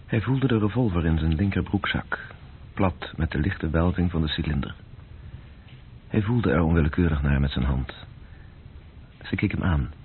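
A man's voice: low-pitched, lively intonation, normal speed, pauses often. A man narrating a radio drama in a literary, scripted style. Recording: muffled old radio recording with faint noise.

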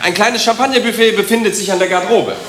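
A man in a German radio play acting a flustered, courteous master of ceremonies, speaking formally and haltingly. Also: high voice, close to an everyday voice. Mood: awkwardly gracious. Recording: echoey hall with busy audience noise.

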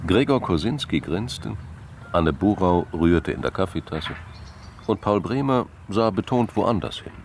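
An actor playing a calm, wry narrator of a radio crime story, reading scripted narration. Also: male voice, low-pitched, unhurried, background noise. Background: guitar music.

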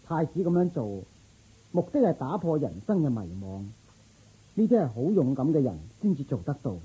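An actor playing a loyal, thoughtful palace guard in a radio drama, earnest and reasoning. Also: male voice, on the high side, very animated, normal speed, mellow, breathy edge, full character voice, low-quality recording.

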